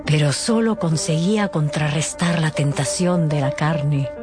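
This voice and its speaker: A woman's voice: deep voice, measured pace, music in the background. A woman narrating a dramatized radio audiobook expressively, with conversational asides.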